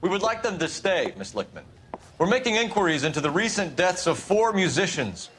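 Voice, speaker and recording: An actor playing an earnest, upright police detective in a 1940s noir game, measured and pressing. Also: male voice, sits high, sing-song, a bit bright, slight background noise. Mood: calm.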